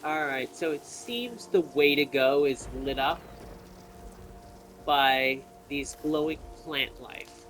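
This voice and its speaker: Higher-voiced man commentating gameplay over background noise. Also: takes it slowly. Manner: thinking aloud, explaining each step to viewers. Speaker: video game player and commentator.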